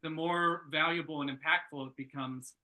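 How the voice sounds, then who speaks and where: A man giving casual workshop feedback, thinking aloud in halting, searching phrases. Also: fairly high pitch, steady tone, sharp tone, rough recording. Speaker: script reader giving feedback at a table read.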